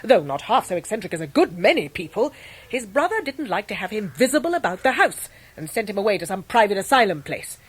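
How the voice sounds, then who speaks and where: An actress playing a brusque, eccentric older English great-aunt in a radio drama, blunt, emphatic and fiercely protective. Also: female voice, medium pitch, big pitch swings, fast, slightly rough. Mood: indignant, fond. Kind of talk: 1990s British radio drama acting.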